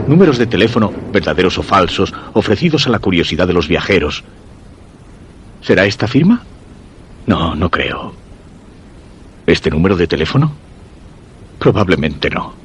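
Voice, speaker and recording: An actor from radio theatre playing an obsessed, lovelorn commuter who narrates his search in brooding, deliberate Castilian Spanish. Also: deep voice, a little hiss or hum, full character voice. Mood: searching, intense. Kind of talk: dramatic first-person radio theatre narration.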